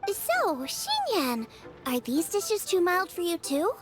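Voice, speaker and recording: Very high-voiced eager young girl cook. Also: wildly swooping pitch, slow, exaggerated voice. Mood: pondering. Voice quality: girlish. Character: eager, friendly young girl cook, bright-spirited and earnest. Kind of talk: video game character voice acting. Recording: music playing behind the voice.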